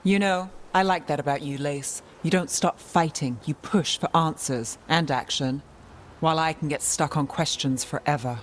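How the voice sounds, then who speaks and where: An actress playing a composed, analytical woman detective in a fantasy game, measured and wry. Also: low voice, slight background noise, lightly acted. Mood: reassuring. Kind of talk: fantasy video game voice acting.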